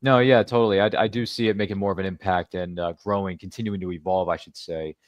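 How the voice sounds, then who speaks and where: Deep-voiced chatty male podcast host. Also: steady tone, quick pace, no echo, lo-fi sound. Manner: chatty and jokey, with playful asides. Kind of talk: casual interview chat.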